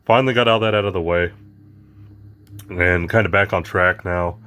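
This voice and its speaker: A man with a hoarse, raspy voice from losing it, chatting casually as the host of an unboxing vlog, rambling with lots of fillers. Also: deep voice, fairly even intonation, normal speed, bright, forceful tone. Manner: rambling, lots of ums and uhs.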